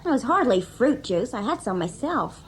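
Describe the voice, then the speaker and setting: A woman's voice: on the high side, sing-song, moderate pace, slight background noise. An actress playing a sarcastic young female travelling companion, spirited and emphatic, in a 1980s radio drama. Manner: sardonic, emphatic.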